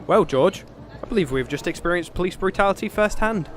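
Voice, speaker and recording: An actor with a British accent playing a pompous, oblivious gentleman knight in a comedic fantasy audio drama. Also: high-pitched, lively intonation, fairly quick, music in the background. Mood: blithe, pompous. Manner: pompous, theatrical, chatty.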